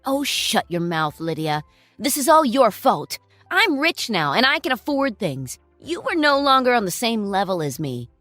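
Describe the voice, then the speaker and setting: A woman's voice: a little high, very animated, moderate pace, a bit bright, no echo, soft background music. Woman doing a sneering smug ex-husband. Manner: sneering, condescending. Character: smug selfish ex-husband, middle-aged man.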